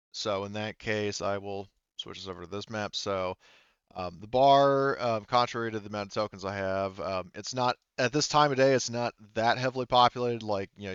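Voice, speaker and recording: A man narrating a tabletop roleplaying game as game master, in a halting, rambling way, thinking aloud as he goes. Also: lowish voice, fairly bright tone, smooth, no room sound, lo-fi sound.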